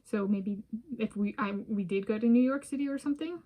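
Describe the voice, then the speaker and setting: An American woman in her thirties talking casually in a personal vlog, halting and rambling as she circles back and repeats herself. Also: a little high, warm tone. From United States. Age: 30s. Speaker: vlogger.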